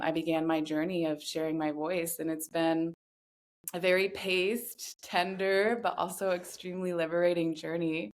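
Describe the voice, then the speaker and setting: A woman in her thirties speaking as a podcast guest, telling her story in a reflective, earnest way with measured, deliberate phrasing. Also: on the low side. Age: 30s. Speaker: podcast guest, somatic voice guide. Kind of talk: reflective personal interview talk.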